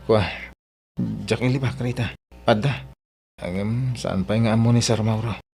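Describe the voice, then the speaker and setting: An actor playing an earnest, hesitant young working man in a Filipino radio drama, speaking haltingly. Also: fairly low pitch, a little mellow, soft background music. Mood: troubled.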